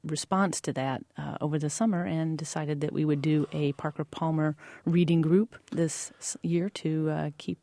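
American woman being interviewed, chatty and informal, thinks out loud, dry clean room sound. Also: deep voice, steady tone, normal speed, few pauses, no echo. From Georgia, United States. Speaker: college English teacher, interview guest. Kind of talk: conversational interview answers.

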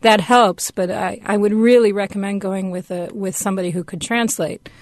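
A woman's voice: medium pitch, steady tone, normal speed, no echo. Woman guest in a dry, close studio. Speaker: radio guest. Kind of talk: conversational interview answers.

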